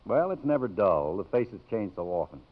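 An actor playing a gentle middle-aged college president in a 1950s radio drama, courteous and measured, with some hesitancy. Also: male voice, fairly low pitch, sing-song. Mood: warm, awkward. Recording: muffled vintage 1950s radio recording.

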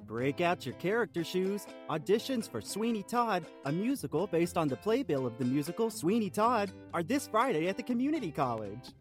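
A man's voice: sits high, sing-song, normal speed, hardly any pauses, faint music behind. A man playing a plain-spoken, earnest small-town islander in an improvised audio comedy, saying absurd things straight-faced. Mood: straight-faced, announcing. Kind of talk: improvised comedy and mock announcements.

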